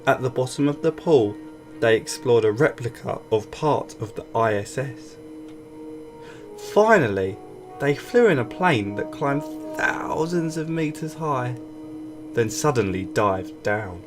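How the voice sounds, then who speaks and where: A man narrates a children's storybook in an expressive read-aloud style. He takes his time with each phrase at a medium pitch, with music playing clearly behind him. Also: a little slow. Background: background music.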